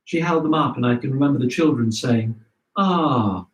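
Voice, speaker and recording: A man reading a scripted memoir aloud in a measured storytelling way. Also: mid-range voice. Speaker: reader of memoirs. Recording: roomy echo, distant microphone.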